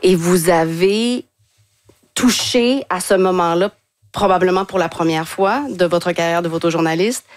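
A woman's voice: fairly low pitch, measured pace. A woman hosting a conversational broadcast interview, thinking aloud as she frames her questions. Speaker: interviewer, radio host.

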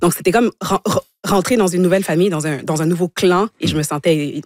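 A woman, a guest in a radio studio who talks in a halting way and often repeats words while she thinks. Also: on the low side, darkish tone, no echo. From Congo, raised in Quebec from age five. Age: 20s. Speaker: guest, actress. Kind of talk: casual interview chat.

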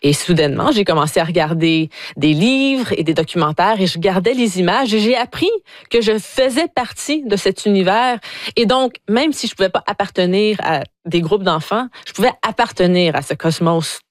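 A woman's voice: mid-range voice, animated, normal speed, no echo, studio quality. A woman astrophysicist explaining science on a radio show, enthusiastic and explanatory, with some hesitations. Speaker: astrophysicist guest.

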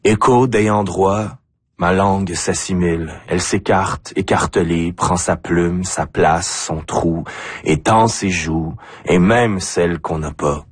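Male poet, Acadian French accent, very raspy voice, slow deliberate phrasing, spoken-word delivery. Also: deep voice, dry recording. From Acadia, New Brunswick, Canada. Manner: deliberate, short phrases with pauses. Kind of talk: spoken-word poetry recital.

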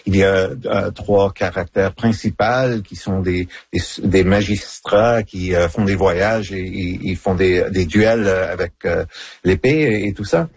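A middle-aged man, a novelist whose first language is English, speaking French haltingly in a radio interview with many fillers and restarts. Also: low-pitched, steady tone, creaky, a little mellow, husky, dry recording. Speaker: novelist guest.

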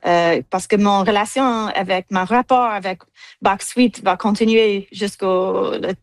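A woman's voice: medium pitch, fairly even intonation, measured pace, dry recording, studio quality. A woman with an English accent, a cellist speaking French as a guest, hesitating with many fillers and slipping into English words. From United States. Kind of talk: interview answers.

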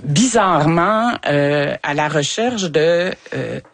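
A woman in her sixties with a Quebec French accent, calling into a radio show and talking slowly through her thoughts with many fillers and restarts. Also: deep voice, animated, no room sound. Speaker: radio caller. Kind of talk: reflective radio conversation.

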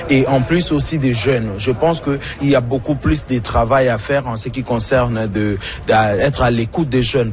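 A man's voice: mid-range voice, a little mellow, a little hiss or hum. A man, answering interview questions earnestly and repeating words as he searches for them. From Democratic Republic of the Congo. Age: 30s. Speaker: municipal candidate.

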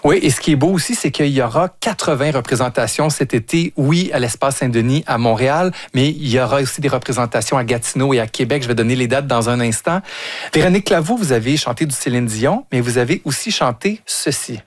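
A man's voice: mid-range voice, expressive, fairly quick, no echo. Québécois male host, dry close sound. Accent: Québécois French. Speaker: radio host. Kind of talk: radio interview.

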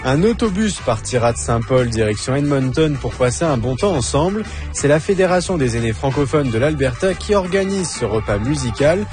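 A male radio announcer reading scripted community announcements in a clear, even way. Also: medium pitch, moderate pace, over music.

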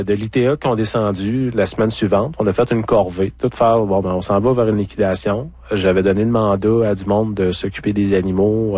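Low-voiced Quebec man telling his story. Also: darkish tone, no echo. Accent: Quebec French. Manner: candid, colloquial. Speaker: interview guest, former farmer. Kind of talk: conversational personal storytelling.

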